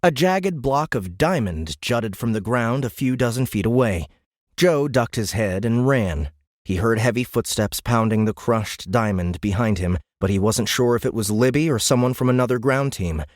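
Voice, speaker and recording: A man narrating a military science fiction audiobook in a measured, grave, dramatic way. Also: medium pitch, animated, dry recording, studio quality. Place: dry recording studio.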